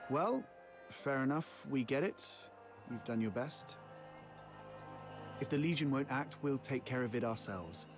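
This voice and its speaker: An actor playing a brash, loyal young fox hunter in a video game, with cocky, teasing bluster. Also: male voice, mid-range voice, animated, a little slow, pauses often, over music. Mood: defiant.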